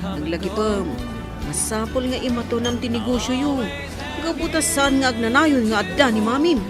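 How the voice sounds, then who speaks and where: High-pitched Ilocano village adviser, woman acting, loud music. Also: normal speed, close to an everyday voice. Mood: advising, cautioning. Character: cautioning, practical village friend, godparent of family. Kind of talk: radio drama acting.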